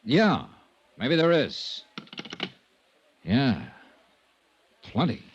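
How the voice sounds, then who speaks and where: An American actor playing a self-assured, persistent insurance investigator in a 1950s radio drama, speaking in a clipped, dry, probing way. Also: male voice, medium pitch, big pitch swings, very slow, highs cut off. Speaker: lead actor and narrator. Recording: noisy vintage radio recording.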